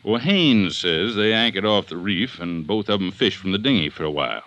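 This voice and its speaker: A man playing an assertive district attorney in an old-time radio detective drama, speaking with deliberate emphasis. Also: deep voice, lively intonation, fairly bright tone, no echo, dull audio. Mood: matter-of-fact. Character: assertive Southern district attorney building a murder case. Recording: vintage radio drama recording.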